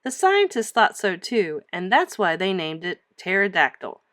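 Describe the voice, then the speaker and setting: A woman reading a children's storybook aloud like a teacher, with emphatic, expressive storytelling. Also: on the low side, normal speed, dry recording, studio quality.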